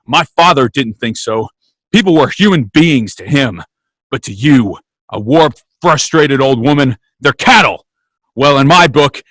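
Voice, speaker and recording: A man's voice: on the high side, fairly bright tone, no room sound. Man playing an earnest, folksy small-town young dreamer. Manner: folksy, halting. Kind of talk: old-time radio theatre acting.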